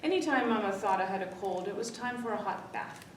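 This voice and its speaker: Echoey, distant woman reading a children's story. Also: a little fast. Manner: expressive, acts out the dialogue. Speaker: library story-time reader. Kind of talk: read-aloud children's storytelling. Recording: echoey room, distant mic, faint rumbly noise.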